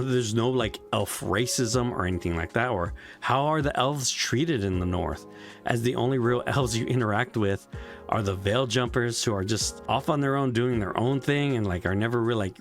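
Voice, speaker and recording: Frustrated young man ranting about a game. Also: mid-range voice. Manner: frustrated, profane rant with many "like" fillers. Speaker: game reviewer. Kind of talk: casual spoken video review.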